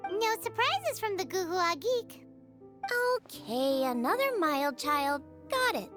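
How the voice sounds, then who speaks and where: Very high, perky excitable young girl. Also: slow pace, exaggerated voice. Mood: spirited. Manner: perky, exclamatory, informal. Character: cheerful, excitable young girl, childlike and eager. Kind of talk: video game character dialogue. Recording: music behind the voice.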